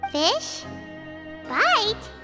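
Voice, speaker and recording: Tiny female fairy mascot, childlike squeak, sky-high pitch, excitable, naive, animated intonation, game dialogue. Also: very slow, heavily acted. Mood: puzzled. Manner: cutesy, speaks of herself in third person. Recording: loud music behind.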